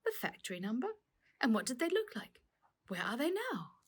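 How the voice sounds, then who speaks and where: A man's voice: very high voice, wildly swooping pitch, moderate pace, breathy edge, pitched above the performer's own voice, heavily acted. A woman voicing a troubled, kindly uncle, a factory owner on the run, in an earnest storybook reading. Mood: wary, grave. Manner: earnest, troubled, exclaiming in surprise.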